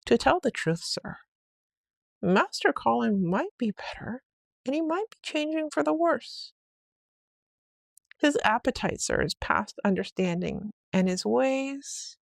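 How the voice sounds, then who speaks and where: A woman's voice: on the high side, sing-song, slow pace, creaky, no room sound, voice pushed up, full character voice. A woman voicing a flustered, deferential housekeeper in a storybook read-aloud, explaining hesitantly and respectfully. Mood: flustered, puzzled.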